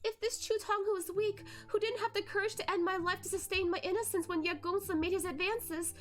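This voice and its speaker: A woman's voice: very high voice, fairly even intonation, brisk, soft background music, voice pushed up. A woman voicing a delicate, tearful young lady in a dramatic story, pleading humbly. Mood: humble weeping. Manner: weeping, trembling, humble pleading.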